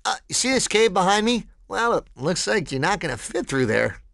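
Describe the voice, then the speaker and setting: A man's voice: high voice, sing-song, moderate pace, vocal fry, a bit bright, no room sound, clean studio sound, heavily acted. A man voicing a cocky, laid-back young cheetah sidekick in a video game, breezy, slangy and friendly. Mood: upbeat, cocky. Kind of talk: animated video game voice acting.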